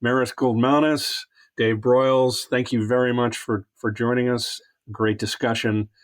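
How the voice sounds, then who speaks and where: A man's voice: mid-range voice, flat delivery, measured pace. A man hosting an interview podcast, asking questions with friendly, dry asides. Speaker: podcast host.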